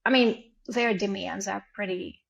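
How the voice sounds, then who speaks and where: A woman's voice: mid-range voice, unhurried, creaky, highs cut off, crowd noise. A woman in her forties with a Ukrainian accent, a podcast guest telling stories in casual chat and acting out family exchanges. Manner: storytelling, acts out family dialogue.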